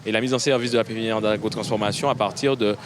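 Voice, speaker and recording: A man, a project official, explaining in an interview, halting and searching for words. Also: fairly low pitch, steady tone. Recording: loud outdoor traffic noise.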